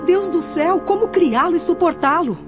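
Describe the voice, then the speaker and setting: A woman's voice: very high voice, lively intonation, normal speed, lo-fi sound. Distraught proud young brazilian lady, radio drama, pleading, big swoops, music behind. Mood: anguished. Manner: theatrical, pleading. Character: distraught young woman, proud, refusing motherhood.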